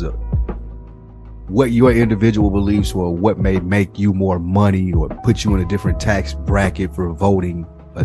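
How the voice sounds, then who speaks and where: Low-voiced man, podcast co-host. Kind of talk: casual conversational podcast discussion.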